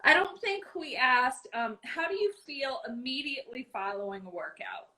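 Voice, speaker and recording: Bright-voiced upbeat woman interviewer. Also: high voice, animated, normal speed, poor audio. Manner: upbeat, encouraging, laughs easily. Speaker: interviewer host. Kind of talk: casual interview.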